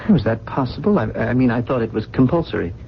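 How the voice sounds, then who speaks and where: An actor playing a world-weary, gentle, educated man in a 1970s radio drama, speaking in a halting, pensive and formal way. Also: mid-range voice, animated, creaky. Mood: gentle, curious. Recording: dull, noisy old radio recording.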